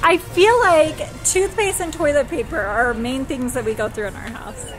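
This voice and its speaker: Woman, American, high voice, chatty, expressive, casual vlog talk, music in the background. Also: moderate pace, low-quality recording. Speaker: family vlogger. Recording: music playing behind the voice.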